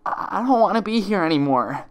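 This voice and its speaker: A man's voice: high-pitched, lively intonation, moderate pace, pitched above the performer's own voice. A man voicing a terrified young female pony, stammering and pleading tearfully in a story reading. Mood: frightened pleading. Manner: stammering, tearful, desperate begging.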